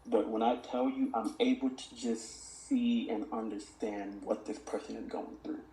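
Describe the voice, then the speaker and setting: A man's voice: on the low side, fairly even intonation, measured pace, warm tone. A young man speaking earnestly as a podcast guest, reflecting on mentoring kids and reciting his own lyrics. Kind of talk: reflective conversational interview. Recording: echoey room.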